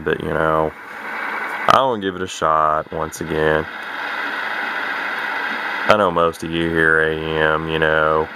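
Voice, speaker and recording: A man talking casually about his radio hobby as he records at home, rambling and self-deprecating, with lots of fillers and halting restarts. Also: rumbling low, gravelly, background noise.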